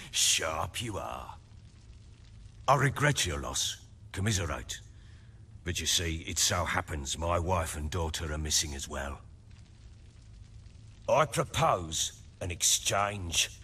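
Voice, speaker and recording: Deep, blustering middle-aged lord. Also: male voice, pushed and bright, slightly rough, full character voice. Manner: blustering, archaic rustic phrasing. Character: gruff, commanding middle-aged lord with missing family. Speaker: lord with men and hounds. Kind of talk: dramatic video game acting.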